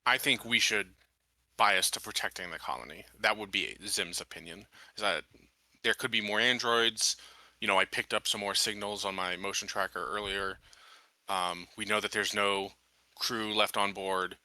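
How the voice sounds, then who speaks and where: A man's voice: on the low side, steady tone, moderate pace, sharp tone, no echo. A man playing a tabletop roleplaying game, talking things through in a reasoned, questioning way. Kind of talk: casual discussion at a game table.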